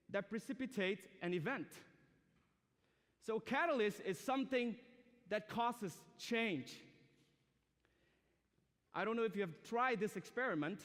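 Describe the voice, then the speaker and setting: Young man preaching in a reverberant hall. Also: high voice. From Myanmar. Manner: conversational preaching, asks the audience questions. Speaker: student speaker. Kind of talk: sermon. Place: large church or university auditorium. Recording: long hall reverb from a live auditorium.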